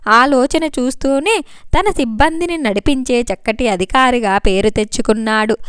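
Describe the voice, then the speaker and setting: A woman's voice: sits high, smooth, no echo, clean studio sound. A woman reading a scripted story as an audiobook narrator.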